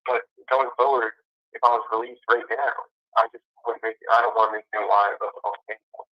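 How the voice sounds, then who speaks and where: A man calling from jail over a muffled phone line, chatting in a halting, repetitive, rambling way. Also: mid-range voice, steady tone, no echo. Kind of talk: casual phone conversation. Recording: dull, band-limited jail phone line.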